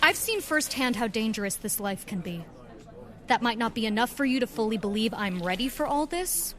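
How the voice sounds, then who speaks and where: An actress playing a plucky, earnest young nurse turned adventurer in a radio drama, eager and spirited. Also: female voice, on the high side, expressive, moderate pace, a bit bright. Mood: spirited, determined. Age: young adult.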